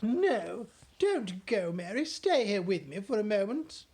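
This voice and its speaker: A woman voicing a refined queen who is gracious but easily shaken, with a slow, plummy, exclaiming manner, reading a storybook aloud. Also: mid-range voice, big pitch swings, darkish tone, slightly rough, pitched above the performer's own voice, exaggerated voice. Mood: dismayed.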